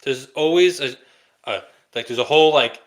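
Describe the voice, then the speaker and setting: A young man co-hosting a casual podcast, explaining things with dry, deadpan jokes. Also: medium pitch, normal speed. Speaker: podcast co-host. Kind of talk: casual chat.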